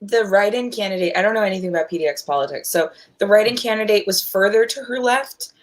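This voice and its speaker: A woman's voice: medium pitch, quick pace. A woman chatting casually as a guest on a group livestream panel.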